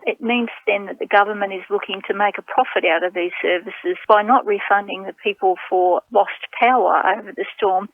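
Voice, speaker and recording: A woman's voice: mid-range voice, no echo. A woman with an Australian accent giving a politician's comment over the telephone. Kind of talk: spoken interview comment. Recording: thin telephone line.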